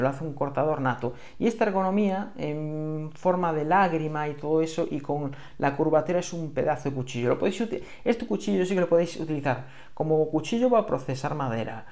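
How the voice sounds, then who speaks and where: A man's voice: on the high side, a little mellow. A man with an accent from Spain, explaining things in a casual hands-on review, conversational and a little hesitant. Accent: Spanish from Spain. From Galicia, Spain. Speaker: bushcraft knife reviewer.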